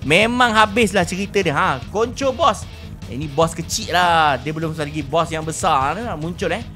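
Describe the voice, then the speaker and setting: Bright, excitable young Malaysian man gaming. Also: a little high, moderate pace, soft background music. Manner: exclamatory, playful, repeats words for emphasis. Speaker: gaming video host. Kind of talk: excited roleplay game commentary.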